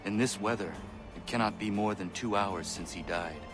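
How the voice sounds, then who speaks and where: Young male game warrior man acting: deep-leaning voice, grave, resolute, formal phrasing, music underneath. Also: natural voice. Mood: earnest. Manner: formal, measured, earnest speech without contractions. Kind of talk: video game dramatic acting.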